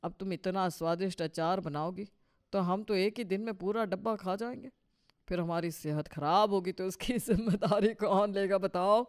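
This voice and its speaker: A man's voice: high-pitched, fairly even intonation, normal speed, smooth. A man playing a henpecked older father-in-law in a Hindi cartoon story, teasing and placating in a colloquial, folksy way. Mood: placating, sly.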